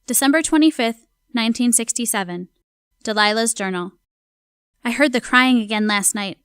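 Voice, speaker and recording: A woman's voice: on the high side, normal speed, fairly bright tone, clear, resonant tone, no room sound, studio quality, close to an everyday voice. A woman playing a timid, kind-hearted household servant, reading her journal in an audio drama in a simple, confiding way. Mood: hushed resolve.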